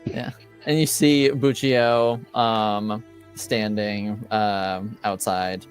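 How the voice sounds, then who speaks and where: A man narrating a tabletop roleplaying game as game master, in a halting, deliberate, wordy way. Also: fairly low pitch, fairly even intonation, faint music behind.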